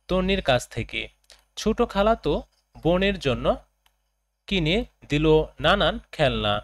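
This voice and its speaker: A man, a teacher reading out lesson questions and answers for students in a deliberate, phrase-by-phrase way. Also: a little high.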